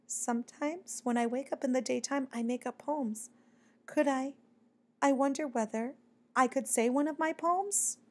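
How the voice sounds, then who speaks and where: A woman's voice: fairly high pitch, lively intonation, normal speed. A woman voicing a shy, earnest little male bat poet in a storybook, with a timid, hesitant manner. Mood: shy, hopeful.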